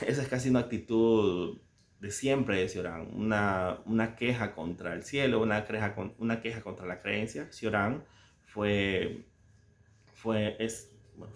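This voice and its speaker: A man from El Salvador with a Salvadoran Spanish accent, talking casually and reflectively about a book he loves, searching for words with soft chuckles. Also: lowish voice, reverberant. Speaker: book reviewer. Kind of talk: casual spoken book commentary.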